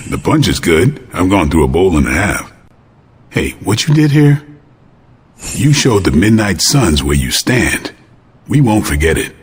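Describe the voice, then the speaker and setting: An actor playing a surly, tough brawler in a video game, blunt and terse with a gruff, raspy voice. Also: male voice, low voice, sing-song, measured pace, long pauses, heavily acted. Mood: gruff, approving. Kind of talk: video game cutscene acting.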